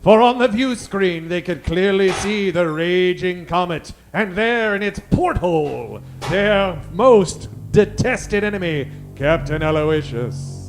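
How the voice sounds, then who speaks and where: A man's voice: sits high, animated, takes it slowly, few pauses, soft background music, heavily acted. A man narrating in a theatrical, suspenseful old-time radio serial style.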